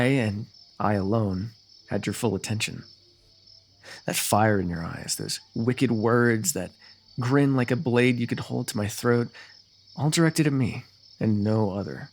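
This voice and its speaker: Man acting as a flirtatious, creaky-voiced fantasy royal. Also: medium pitch. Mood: fond, yearning. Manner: formal, courtly phrasing with teasing flirtation. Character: teasing, lovestruck adult royal ruler, courtly and flirtatious. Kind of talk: ASMR fantasy roleplay acting.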